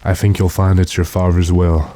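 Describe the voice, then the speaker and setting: A man playing a calm, commanding gentleman vampire hunter in a radio horror drama, deliberate and authoritative. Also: deep voice, dry recording, natural voice.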